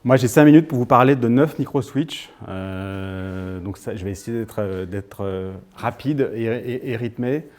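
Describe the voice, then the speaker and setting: A man's voice: fairly low pitch, a little slow, few pauses, darkish tone. A man of thirty-nine, giving an informal personal talk to an audience in a hesitant storytelling manner. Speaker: guest speaker.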